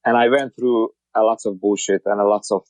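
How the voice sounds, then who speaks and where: A man from Hungary speaking English with a Hungarian accent as a podcast guest, talking in broken, halting sentences with many repeated words and restarts. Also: lowish voice, steady tone, darkish tone, no echo. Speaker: guest, car wrap shop owner. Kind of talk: casual interview.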